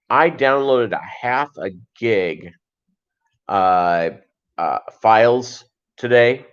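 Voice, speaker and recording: A middle-aged man chatting casually as a livestream host, in a rambling, halting way that often repeats phrases. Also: on the low side, clean tone. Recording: dull lo-fi livestream audio.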